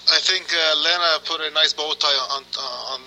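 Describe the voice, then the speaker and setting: A man speaking for the program, halting with many fillers and clearing his throat. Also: a little high, steady tone, pushed and bright, a little hiss or hum, poor audio. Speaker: program representative. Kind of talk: on the line, halting remarks.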